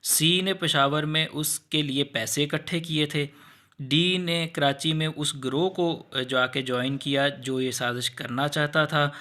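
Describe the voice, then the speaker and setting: A man's voice: fairly high pitch, steady tone, unhurried, pushed and bright. A man with a Pakistani accent giving an informal explanatory law lecture, going step by step with examples and mixing in English legal terms.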